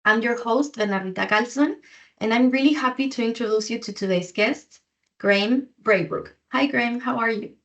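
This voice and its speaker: A woman hosting a podcast, introducing the show and asking smooth, prepared questions in a friendly way. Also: a little high, normal speed, fairly bright tone, muffled recording. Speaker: podcast host.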